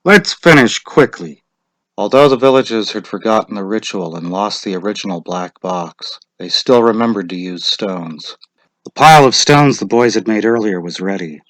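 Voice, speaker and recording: A man's voice: on the low side, normal speed, no room sound. A man narrating a short story as a scripted dramatic reading.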